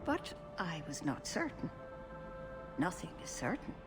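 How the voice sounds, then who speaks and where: A woman voicing a wise, motherly elven clan keeper in a fantasy game, speaking in gentle, measured, cryptic pronouncements. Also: deep voice, big pitch swings, music in the background, heavily acted. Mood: solemn.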